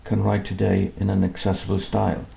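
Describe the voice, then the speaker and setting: A man's voice: deep voice, monotone, a little fast, creaky, mellow. A man, a composer being interviewed in his music room, talking in a modest, hesitant way with many restarts. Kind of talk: relaxed conversational interview.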